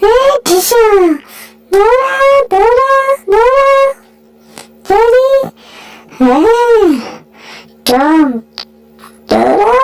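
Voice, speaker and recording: A woman voicing a small, childlike, excitable cartoon mouse that exclaims and complains in a squeaky, whiny voice over a comic dub. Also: low-quality recording. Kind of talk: improvised comic cartoon dubbing.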